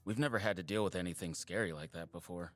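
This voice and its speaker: An actor playing an earnest, caring male wildlife surveyor in an audio drama, casual, sincere and expressive. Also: low-pitched, fast talker, close to an everyday voice. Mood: relieved, tired. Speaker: habitat surveyor.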